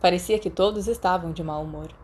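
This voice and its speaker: A woman's voice: on the low side, a little fast, darkish tone. A woman with a Brazilian accent reading a story aloud as a scripted audiobook narration. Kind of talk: scripted fan fiction narration.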